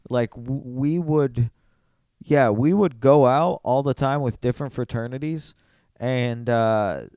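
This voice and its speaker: Male podcast guest, mid-pitched, smooth and dry-sounding, blunt slangy delivery with casual swearing. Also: slow, dark tone, no echo.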